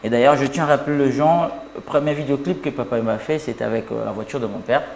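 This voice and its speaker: A man's voice: medium pitch, quick pace, vocal fry. A man from Kinshasa, a stylist guest on a talk show, chatting and paying compliments. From Kinshasa, DR Congo. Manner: flattering, chatty, warm compliments. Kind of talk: casual talk show interview. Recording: echoey room with faint noise.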